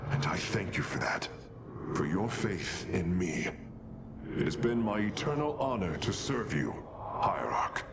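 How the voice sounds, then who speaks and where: An actor playing a loyal, analytical alien engineer in a science fiction game, speaking formally and earnestly. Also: male voice, low-pitched, big pitch swings, a little slow, noisy background, heavily acted. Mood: solemn, devoted. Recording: loud cinematic score behind.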